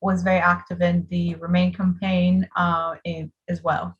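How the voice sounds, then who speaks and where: A woman's voice: fairly low pitch, little pitch movement, unhurried, dull audio. A woman, answering interview questions in an earnest, passionate and emphatic way. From Bulgaria. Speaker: interviewee, political activist.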